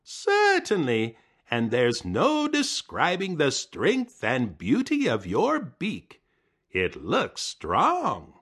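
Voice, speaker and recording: A man voicing a boastful, bossy male coyote who shouts and commands. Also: sits high, huge pitch swings, slow, gravelly, breathy edge, voice pushed up, heavily acted. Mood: flattering.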